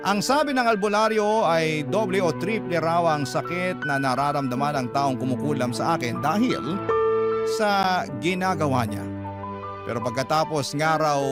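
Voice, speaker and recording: A man narrating a listener's letter in an earnest, measured way for a radio drama. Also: a little high. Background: loud music.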